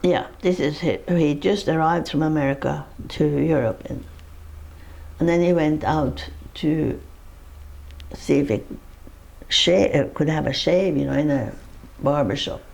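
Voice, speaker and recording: A woman's voice: low-pitched, unhurried, vocal fry, pauses often, darkish tone. An older woman with a Norwegian accent, recalling her life in a personal interview, rambling through her story with laughs and restarts. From Norway.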